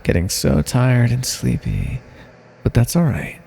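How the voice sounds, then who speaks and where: Man playing a smug, husky supervillain in cavernous reverb. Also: mid-range voice, huge pitch swings, measured pace, dark tone, heavily acted. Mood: soothing, hypnotic. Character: smug, playful male minor supervillain mind controller, hypnotic and gloating. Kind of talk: ASMR roleplay monologue spoken to the listener. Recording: long reverberant echo.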